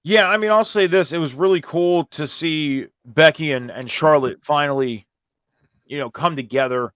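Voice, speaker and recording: A man with a hoarse voice, strained from screaming at a concert, hosting a casual, informal review and commentary show about wrestling. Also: on the high side, moderate pace, a bit bright, no echo.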